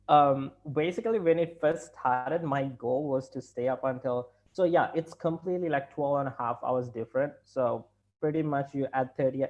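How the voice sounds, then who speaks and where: A man's voice: mid-range voice, vocal fry, darkish tone. A man in his thirties with a Sri Lankan accent, a company chief talking through a video-call interview from his home, rambling with many fillers and restarts. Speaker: company CEO guest. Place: home room indoors. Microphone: laptop video call. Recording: dull lo-fi video call audio.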